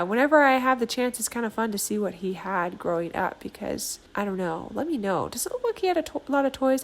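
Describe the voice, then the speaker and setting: A woman in her thirties narrating a home video in a casual voice-over, her voice a bit hoarse from a cold, chatty and quick to laugh. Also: medium pitch. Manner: chatty, self-deprecating, laughs often. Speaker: vlogger.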